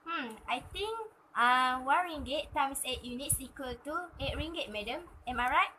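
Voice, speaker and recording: A woman's voice: high voice, normal speed, bright, forceful tone, a little hiss or hum. A young woman with a Malaysian accent acting as an eager, playful student who answers her teacher and seeks approval. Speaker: student in a classroom skit. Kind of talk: acted classroom answers and presenting.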